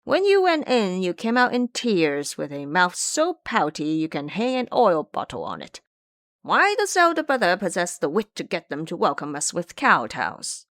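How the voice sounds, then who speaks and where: A woman's voice: on the low side, wildly swooping pitch, normal speed, a bit bright, no echo, studio quality. A woman voicing a blunt, gluttonous male pig disciple, rude and complaining, in an audio drama. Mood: grumbling, mocking.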